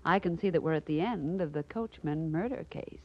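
Husky, teasing detective's assistant woman. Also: low-pitched, fairly quick. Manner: teasing, inquisitive. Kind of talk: 1940s radio detective drama acting. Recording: dull 1940s radio recording.

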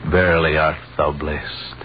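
Deep-voiced solemn old wise man. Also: level tone, a little slow. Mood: reverent, solemn. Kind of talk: 1930s radio acting, archaic biblical speech. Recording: noisy muffled 1930s radio recording.

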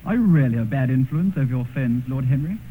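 A quick-speaking, innocent young gentleman played by a man, polite and curious in a radio drama. The vintage recording is narrow and clearly noisy. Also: mid-range voice, animated, natural voice. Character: Dorian Gray, candid, innocent young English gentleman. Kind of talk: 1950s radio drama acting.

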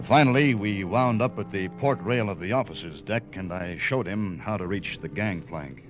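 A man's voice: low voice, normal speed, lo-fi sound, over music, natural voice. An actor playing a dutiful, uneasy first officer who narrates his own story in an old radio drama. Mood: brooding narration. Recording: band-limited vintage radio broadcast.

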